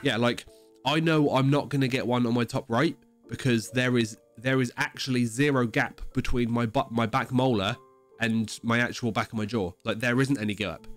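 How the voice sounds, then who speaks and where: A man hosts a casual livestream podcast with music behind him. He sounds stuffed up with a head cold and sore throat. He explains things at length in a mid-pitched voice, hammering his points and swearing freely. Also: fairly even intonation, normal speed. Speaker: podcast host.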